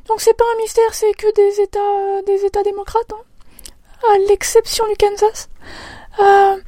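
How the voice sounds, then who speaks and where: A woman chatting on a podcast from her home, her voice pitched very high yet held almost flat. The tone is soft and mellow, recorded close and dry. She speaks haltingly, restarting phrases and filling pauses. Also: no room sound. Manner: hesitant, many fillers and restarts. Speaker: podcast co-host. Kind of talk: casual podcast discussion. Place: at home in a separate room during lockdown.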